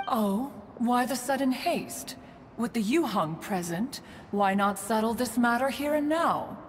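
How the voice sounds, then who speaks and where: Slow, stately immortal recluse. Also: female voice, on the high side, lively intonation, big-room sound, noisy background. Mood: imperious, curious. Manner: formal, haughty diction, refers to herself as "one". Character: dignified, archaic-speaking ancient adeptus recluse, a stately woman. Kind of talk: scripted video game cutscene acting.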